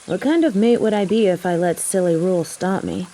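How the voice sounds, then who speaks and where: A woman's voice: lowish voice, brisk, mellow, natural voice, poor audio. A woman acting in an audio drama as a biker girl, a devoted human mate with a teasing, sarcastic way of talking. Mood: resolute. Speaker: voice actor in an audio roleplay.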